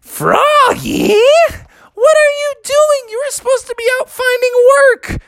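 A man's voice: very high voice, a little slow, creaky, bright, forceful tone, pitched above the performer's own voice, exaggerated voice. A man voicing a fretful, easily alarmed frog parent who exclaims in a children's story. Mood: shocked.

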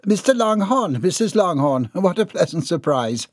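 A man's voice: on the high side, sing-song, normal speed, a little mellow, no echo, studio quality, voice pushed up. A man voicing a composed, firm woman with forced politeness and formal speech in an audiobook. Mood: strained politeness.

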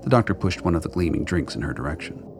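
A man narrating a scripted radio drama in a deliberate, noir storytelling manner. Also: extremely low pitch, very animated, music in the background.

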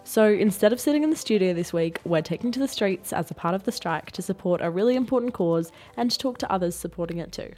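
A woman's voice: medium pitch, animated, fast talker, few pauses, a little mellow, soft background music. A young woman co-hosting a podcast, chatty and full of "like". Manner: chatty, uses "like" a lot. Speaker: podcast co-host. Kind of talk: casual podcast chat and street reporting.

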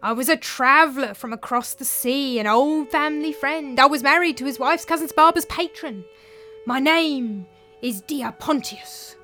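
A woman puts on the doomed ghost of a murdered merchant from a storybook. He wails theatrically in a high, bright voice, mournfully drawing out his words. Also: soft background music. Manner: wailing, theatrical, drawn-out words. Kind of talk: dramatised storytelling.

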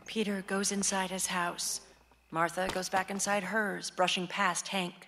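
A woman's voice: mid-range voice, level tone, measured pace, sharp tone. Female narrator, reverberant and slightly noisy. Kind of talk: scripted narration of stage directions. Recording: echoey hall with faint noise.

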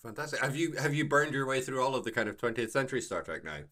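A man's voice: medium pitch, animated, fast talker, a bit bright. A middle-aged man hosting a podcast in casual chat, wordy, with mock-formal turns of phrase. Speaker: podcast host.

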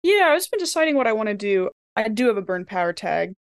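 A woman's voice: mid-range voice, big pitch swings, fast talker, no echo, clean studio sound. A woman playing a tabletop role-playing game, thinking aloud in casual speech as she plans what her character will do.

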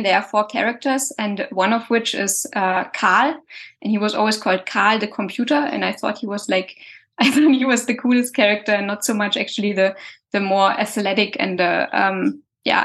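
A woman's voice: medium pitch, creaky, fairly bright tone. Woman, thirties, lots of um and uh, restarts a lot, chatting as a guest. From Germany. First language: German. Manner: hesitant, many fillers and restarts. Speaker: guest scientist. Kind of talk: casual interview answers.

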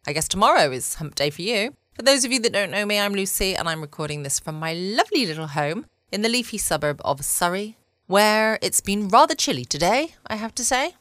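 A southern English woman hosting a podcast in a chatty, unhurried bedtime style. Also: medium pitch, sing-song, a bit bright, dry recording. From United Kingdom. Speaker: podcast host. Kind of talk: casual bedtime chat.

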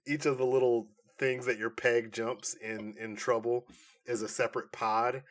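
Male podcast co-host, mid-pitched voice, excitable and jokey, trading casual pop culture banter. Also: normal speed.

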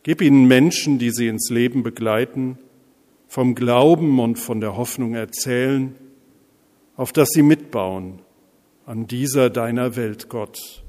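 A man leading intercessory prayer as a pastor, speaking solemnly in measured, deliberate phrases with pauses. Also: medium pitch, mellow. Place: large reverberant church interior. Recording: echoey church hall, long reverb.

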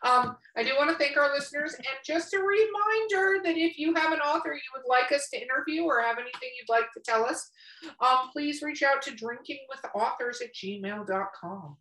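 A woman's voice: high voice, moderate pace, sharp tone, roomy sound, far from the mic, low-quality recording. A woman hosting a casual podcast, playful, rambling and sweary, sounding a little tipsy. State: tipsy from drinking. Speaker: podcast host. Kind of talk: casual, joking podcast chat with sponsor reads.

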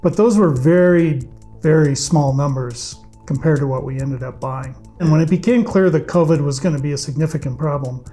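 A man, a podcast guest, speaking with a raspy voice from allergies and explaining things in a measured way with some fillers. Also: fairly high pitch, warm tone, soft background music. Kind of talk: conversational interview answers.

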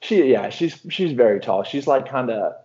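A young man from Illinois talking casually in an interview, relaxed and rambling, often restarting his sentences. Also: mid-range voice, normal speed, lo-fi sound. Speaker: professional basketball player.

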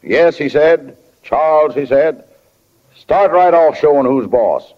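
A man's voice: on the high side, expressive, takes it slowly. An actor playing a kindly, flustered middle-aged small-town father in 1940s radio drama, hesitant and folksy, often fumbling for words. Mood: folksy, reminiscing. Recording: dull, lo-fi 1940 radio recording.

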